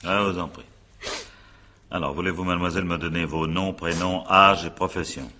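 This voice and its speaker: An actor playing an impatient, businesslike examining magistrate in a French radio mystery, putting curt official questions and often cutting in. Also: male voice, low-pitched, fairly even intonation, moderate pace, noisy background, close to an everyday voice. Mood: patient, formal.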